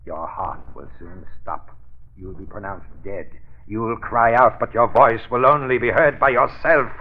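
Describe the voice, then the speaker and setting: An actor playing a gloating, hate-filled murderer in a theatrical, deliberate, menacing old radio drama style. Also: male voice, medium pitch, natural voice. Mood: sinister gloating. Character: gloating, hate-filled murderer, a coldly obsessed rival in love. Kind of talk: 1930s-40s radio drama acting. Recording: narrow, noisy old radio recording.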